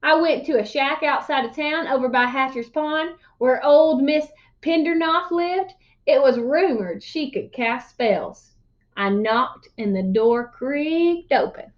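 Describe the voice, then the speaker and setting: A woman's voice: high voice, muffled recording. A woman voicing a crotchety old country man who spins tall tales in a folksy, emphatic way for a storybook. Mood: folksy, earnest. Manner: folksy, emphatic, drawn-out exaggeration. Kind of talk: storybook read-aloud character voice.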